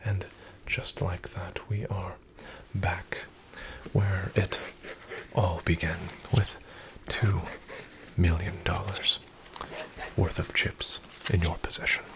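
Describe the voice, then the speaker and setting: A man in a soft-spoken, whispered ASMR roleplay as a casino dealer, speaking in a polite, formal, deferential way. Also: low voice, level tone, takes it slowly.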